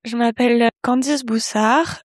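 A young woman speaks at a fairly high pitch for a woman, explaining her internship in a measured, orderly way. The sound is close and dry, with no room around it. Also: fairly even intonation, no echo. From Paris region, France. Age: young adult. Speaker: engineering intern. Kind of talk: scripted self-presentation.

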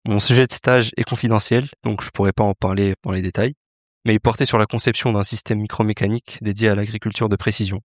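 A young man from Paris, an engineering student on an internship, presenting his work in a steady, formal way. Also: lowish voice, quick pace, no room sound, studio quality. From Paris, France. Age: young adult.

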